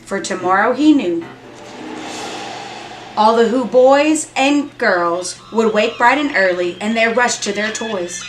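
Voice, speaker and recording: A woman reading a children's story aloud expressively, with dramatic emphasis. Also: on the high side. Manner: dramatic emphasis and drawn-out repetition. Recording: echoey room, music and effects behind. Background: music and sound effects from an app.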